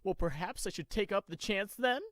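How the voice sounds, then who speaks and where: A woman's voice: medium pitch, expressive, moderate pace, dry recording, clean studio sound, close to an everyday voice. A woman voicing an arrogant, possessive young nobleman in a fantasy audio roleplay, with a smug, pompous manner.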